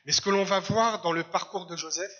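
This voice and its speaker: High-voiced, bright male preacher. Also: muffled recording. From Algeria. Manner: preaching in short phrases, pausing for the interpreter. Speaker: guest preacher. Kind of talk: sermon with live interpretation. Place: church service.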